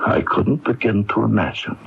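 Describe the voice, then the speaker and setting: An actor playing a genteel, puzzled older doctor in a radio mystery drama, measured and courtly, with a gravelly voice. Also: male voice, fairly low pitch, very animated. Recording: dull, noisy lo-fi AM radio recording.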